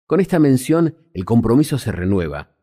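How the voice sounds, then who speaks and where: A man's voice: medium pitch, very animated, moderate pace, mellow. An Argentine man reading scripted documentary narration as a narrator. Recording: music behind the voice.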